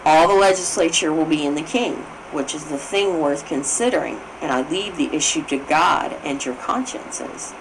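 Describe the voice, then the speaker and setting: A woman reading a formal text aloud as narration, carefully, sometimes stumbling and correcting herself. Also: sits low. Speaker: reader of a book on constitutional history. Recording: clear background noise.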